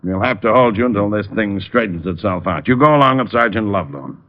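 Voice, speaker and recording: A man's voice: lowish voice, expressive, fast, natural voice. An actor playing a bossy, exasperated police lieutenant in a 1940s radio detective drama, curt and sarcastic as he gives orders. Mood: curt, commanding. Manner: curt, barking orders, dry. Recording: muffled old radio recording.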